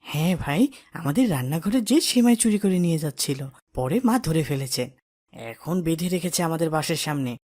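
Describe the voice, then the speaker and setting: A woman voicing an excited, indignant boy in a cartoon, talking to his friends. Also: sits low, brisk. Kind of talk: cartoon drama voice acting.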